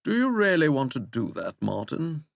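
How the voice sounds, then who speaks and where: Man playing a suave English henchman, plummy accent, urbane, mocking, quietly menacing, lilting pitch, audiobook character. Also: wildly swooping pitch, moderate pace, vocal fry, dry recording, clean studio sound, full character voice. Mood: amused, mocking.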